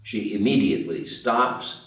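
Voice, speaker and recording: A man's voice: fairly low pitch, a little slow, fairly bright tone. A man reading stage directions as the narrator of a screenplay table read. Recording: very echoey room, distant mic.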